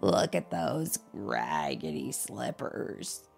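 A woman's voice: very deep voice, wildly swooping pitch, very slow, creaky, darkish tone, faint music behind, voice pushed down, heavily acted. A woman voicing a snooty, sneering male crocodile in a children's storybook. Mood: scoffing. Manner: sneering, taunting. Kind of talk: children's storybook read-aloud.

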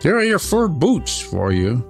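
A man's voice: on the high side, wildly swooping pitch, unhurried, vocal fry, soft background music, pitched above the performer's own voice, exaggerated voice. A man voicing a fierce, wild little robber girl who talks in a bossy, threatening way.

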